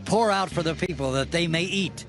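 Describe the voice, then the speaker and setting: A man playing a solemn, commanding prophet in a dramatized scripture reading, with measured, declamatory delivery. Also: a little high, close to an everyday voice. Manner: measured, declamatory, archaic phrasing. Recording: music behind the voice.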